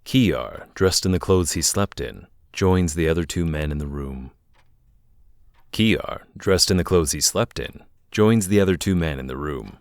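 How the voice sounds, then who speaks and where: Very deep-voiced male story narrator. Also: animated, a little slow, dry recording, clean studio sound. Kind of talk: scripted fantasy drama narration.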